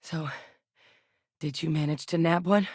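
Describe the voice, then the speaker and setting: A guarded, principled woman scientist in a sci-fi game. Her voice sits low, recorded very dry and close. She speaks in a measured, earnest manner with a wry edge. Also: steady tone, a little mellow, slightly rough, no echo. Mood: firm, earnest. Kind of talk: video game character dialogue.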